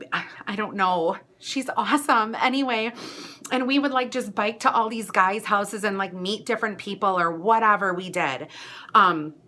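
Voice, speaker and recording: An American woman chats to her viewers as a vlogger telling a story from her own life. Her voice is bright and punchy. She is animated and casual, leaning into dramatic emphasis as she relays who said what. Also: medium pitch. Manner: animated, chatty, dramatic emphasis with acted-out quotes. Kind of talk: casual personal storytelling to camera.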